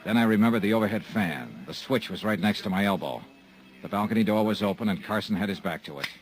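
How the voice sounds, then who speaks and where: A man's voice: fairly low pitch, expressive, fast, soft background music, lightly acted, rough recording. A man playing a wry hard-boiled investigator. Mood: narrating. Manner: dry, wry and tough. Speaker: narrator and lead. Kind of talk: 1950s radio drama narration.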